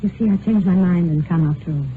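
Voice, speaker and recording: A woman's voice: lowish voice, natural voice. A woman acting an emotional runaway wife in an old radio melodrama, earnest, anxious and pleading. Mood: earnest, loving. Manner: dramatic, earnest, halting. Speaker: actor. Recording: narrow, faintly noisy old radio recording.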